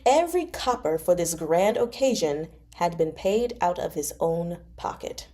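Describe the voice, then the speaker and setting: A woman's voice: on the low side, animated, unhurried, warm tone. A woman hosting a read-along, narrating a novel expressively and adding casual, chatty commentary. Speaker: host of a live read-along.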